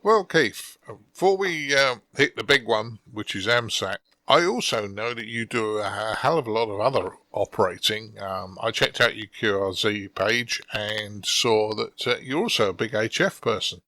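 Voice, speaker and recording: Bright-voiced chatty podcast host man. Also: lowish voice, moderate pace, few pauses, no echo. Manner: friendly, chatty, animated when telling a story. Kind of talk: casual interview chat.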